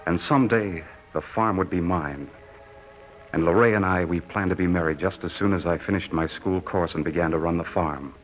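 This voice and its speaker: Muffled, low-voiced, wistful young soldier played by a man. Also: close to an everyday voice. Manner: folksy, wistful, solemn storytelling. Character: earnest, lovelorn young farm-raised soldier telling his story. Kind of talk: 1940s radio drama narration. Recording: muffled old radio recording with music. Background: dramatic music.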